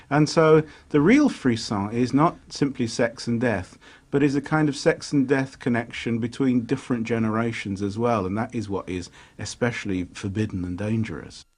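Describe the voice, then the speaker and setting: A man giving commentary in an interview, deliberate and emphatic. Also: fairly low pitch, a little mellow, slightly rough. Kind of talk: spoken commentary.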